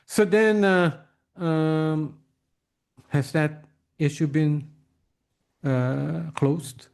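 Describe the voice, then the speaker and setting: Guy hosting a casual interview, kinda high voice, takes his time, halting, repeats words as he digs in. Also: steady tone, vocal fry, a little mellow, smooth. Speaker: interview host.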